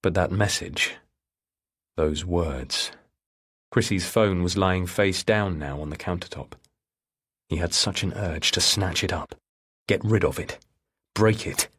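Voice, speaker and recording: Man, low-pitched, husky, measured audiobook narration, suspense fiction. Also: a bit bright. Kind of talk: scripted narration of a thriller novel.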